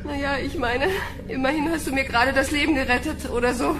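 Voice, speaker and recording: Woman playing young space recruit, panting and defiant, high voice, noisy background, audio drama. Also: steady tone, fast talker, natural voice. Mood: breathless, awkward. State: out of breath. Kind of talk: German sci-fi audio drama.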